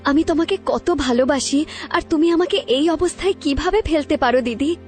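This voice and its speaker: Helpless younger sister woman acting, cartoon, high desperate pleading over music. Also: normal speed, lightly acted. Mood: frightened, pleading. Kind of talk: cartoon fairy-tale voice acting.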